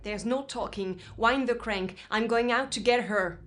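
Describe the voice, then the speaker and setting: A woman's voice: a little high, unhurried, fairly bright tone. A woman playing a defiant, headstrong survivor in an audio drama, speaking in an insistent, commanding way. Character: defiant, headstrong, loyal woman determined to rescue her friend.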